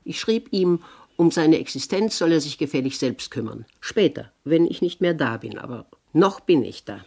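An actress playing a strong-willed, shrewd seventy-year-old aunt in a 1960s radio play, brisk and admonishing. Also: female voice, sits low, animated, creaky, a little mellow, breathy edge, heavily acted. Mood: frank, measured. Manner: brisk, admonishing, matter-of-fact. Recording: 1960s radio recording with background noise.

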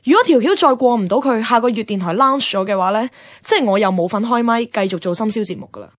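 An actress playing a blunt, nagging young best friend in a Cantonese radio drama, with a Hong Kong accent and a brisk, dramatic, teasing delivery. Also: female voice, on the high side, dry recording, clean studio sound, close to an everyday voice. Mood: dramatic complaining. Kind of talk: radio drama acting and narration.

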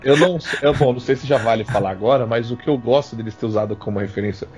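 A man's voice: mid-range voice, fast, a little mellow. A Brazilian man chatting casually on a podcast panel, enthusiastic and slangy, repeating himself for emphasis. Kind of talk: casual chat. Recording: slightly dull lo-fi sound.

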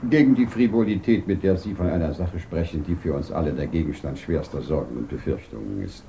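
An actor playing an earnest, principled professor in a 1950s German radio drama, speaking formally and gravely. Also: male voice, rumbling low, lively intonation, mellow, a little hiss or hum, exaggerated voice. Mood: indignant. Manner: formal, measured protest. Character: earnest, dignified professor, morally troubled and principled.

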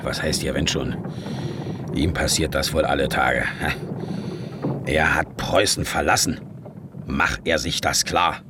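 An actor playing a gruff, stern old king scolding his son in a radio play. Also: extremely low pitch, steady tone, a little slow, pauses often, noisy background. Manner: gruff, blunt.

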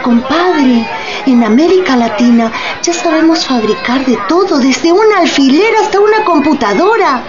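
A woman playing a defiant street protester in a radio play, colloquial and emphatic. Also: high voice. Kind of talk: radio drama acting. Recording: dull lo-fi, noisy street ambience.

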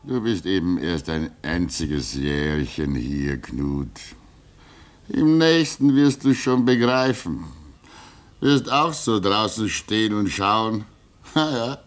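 Deep, slow, fatherly older man. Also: sing-song. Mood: musing, fond. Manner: soothing, chuckling, drawn-out musing. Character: fatherly older weather-station man, calm, soothing, wistful. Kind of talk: 1950s German radio drama acting.

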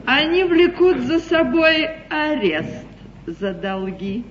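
An actress playing a cunning, haughty duchess with coolly mocking, ironically polite, theatrical delivery in a stage comedy. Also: female voice, sits high, big pitch swings, slow, roomy sound, full character voice. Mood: triumphant, ironic. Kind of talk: classical stage comedy acting. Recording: muffled, hissy 1950s theatre broadcast.

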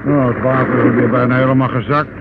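A male actor playing a folksy, chatty rescuer in a radio drama, with a gravelly voice. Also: mid-range voice, fairly even intonation, fast, close to an everyday voice. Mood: surprised, chatty. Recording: noisy, tinny old radio drama recording.

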